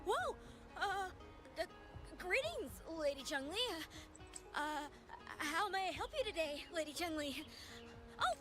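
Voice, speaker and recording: An actress playing a flustered, eager young receptionist in a game. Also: female voice, very high-pitched, very animated, a little slow, pauses often, over music, heavily acted.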